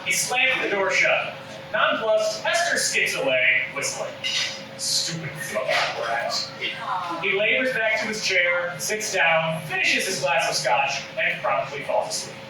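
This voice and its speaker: A man's voice: high-pitched, sing-song, unhurried. Echoey man narrating a comedy script. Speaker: table read narrator. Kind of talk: scripted comic narration of stage directions. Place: indoor room at a live table read. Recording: echoey room, distant mic, noisy.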